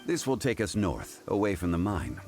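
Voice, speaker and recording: A man voicing a calm, learned, dignified figure in a video game, speaking formally and deliberately. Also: sits low, over loud music. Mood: musing.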